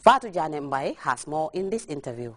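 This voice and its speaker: A woman news anchor reading a scripted introduction in a crisp, formal manner. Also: sits low, very animated, normal speed.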